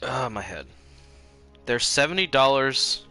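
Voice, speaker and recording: A man's voice: mid-range voice, lively intonation, unhurried, pushed and bright, soft background music. A man chatting casually while livestreaming a game, rambling and circling back over his own sentences. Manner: rambling, easily distracted, repeats himself.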